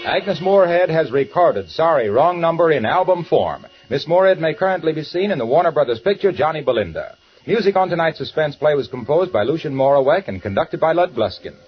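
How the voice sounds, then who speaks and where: A man's voice: a little high, animated, fairly quick. A man announcing and reading ad copy in an upbeat, salesy 1940s radio style, on a dull old recording with music.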